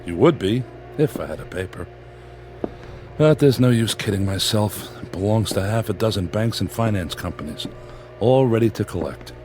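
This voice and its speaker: A man playing a skeptical small-town editor in a radio play. His voice is on the low side, with a dry, downbeat, plain-spoken delivery. Music sits underneath the dialogue. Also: expressive, normal speed, darkish tone, natural voice. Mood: resigned. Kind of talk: radio drama acting.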